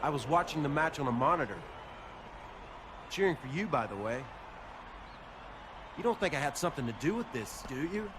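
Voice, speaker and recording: Man as friendly wrestler, lively intonation. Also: fairly high pitch, moderate pace, long pauses, background noise, natural voice. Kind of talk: video game cutscene acting.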